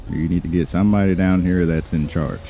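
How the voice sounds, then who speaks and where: A man with an extremely deep, dark voice speaks as an assertive attorney in a game roleplay. His delivery is deliberate, stern and talks down to the listener. Music plays faintly behind him. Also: fairly even intonation, lo-fi sound. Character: assertive, condescending male attorney confronting police. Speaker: roleplay streamer playing a lawyer. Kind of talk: in-character improvised game roleplay, formal argumentative talk.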